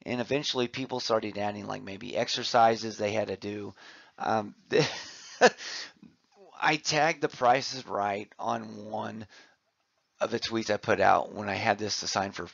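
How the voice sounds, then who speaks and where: A man's voice: on the low side, a little slow, creaky, dry recording. A man, a physical education teacher, giving an informal, conversational presentation with enthusiasm, recorded slightly muffled. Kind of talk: informal conference presentation over slides. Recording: slightly muffled, dull audio.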